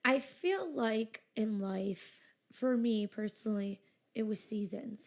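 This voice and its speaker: Chatty young woman with a gravelly edge. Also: mid-range voice, slow. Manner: chatty, lots of "like" and "you know". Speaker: business owner, guest. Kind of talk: casual interview chat.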